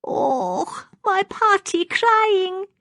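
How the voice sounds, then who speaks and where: A woman's voice: very high-pitched, very animated, very slow, fairly bright tone, dry recording, studio quality, pitched above the performer's own voice, heavily acted. A woman voicing a frightened, childlike, addled old woman from a storybook, with a rattling, quavering voice. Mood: bewildered, tearful. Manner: dramatic, snivelling, childlike.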